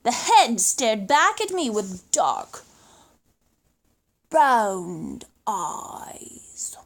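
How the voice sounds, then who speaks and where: A woman reading a children's story aloud expressively, with dramatic emphasis and suspenseful pauses. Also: a little high, big pitch swings.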